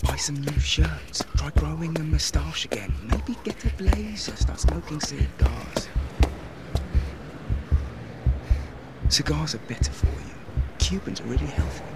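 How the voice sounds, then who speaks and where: An actor playing an anxious, self-deluding man muttering clipped, repetitive resolutions to himself in a breathy half-whisper, as an audio drama inner monologue. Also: a little high. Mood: hopeful scheming.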